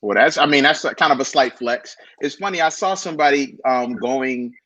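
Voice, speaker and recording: A man from Buffalo, New York co-hosting a casual podcast chat, playful and slangy with quick reactions. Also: mid-range voice, sharp tone, dry recording, low-quality recording. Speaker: podcast co-host.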